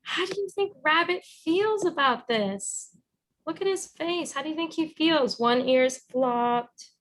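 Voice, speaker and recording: A woman reading a storybook aloud as a teacher, encouraging and pausing to guide with questions. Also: sits high, lively intonation. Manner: encouraging, instructive.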